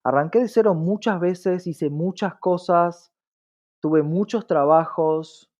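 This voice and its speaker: A man's voice: high voice, takes it slowly, darkish tone. Argentine man, reflective interviewee, dull lo-fi audio. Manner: frequently checks in with rhetorical tag questions. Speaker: podcast guest, diversity professional.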